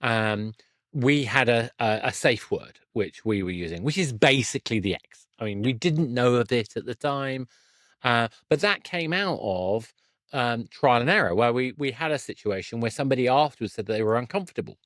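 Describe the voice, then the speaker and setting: A man's voice: mid-range voice, lively intonation, moderate pace, a bit bright, no echo, studio quality. A man chatting casually as a podcast host, thinking aloud and often correcting himself mid-sentence. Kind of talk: casual conversational interview.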